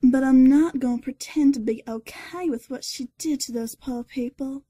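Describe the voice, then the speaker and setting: A woman playing a timid, soft-hearted nurse in a horror audio drama, with a breathy voice. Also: high voice, normal speed, dry recording. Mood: uneasy.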